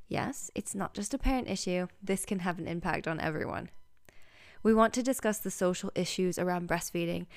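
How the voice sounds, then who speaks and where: A woman's voice: fairly low pitch, clean tone, dry recording. A woman narrating a scripted introduction as a podcast host.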